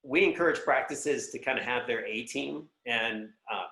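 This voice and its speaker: A man's voice: medium pitch, moderate pace, vocal fry, sharp tone. A man giving conversational business advice as an interview guest, explaining things in a practical way. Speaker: guest, sales executive and consultant. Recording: roomy, echoing voice pickup.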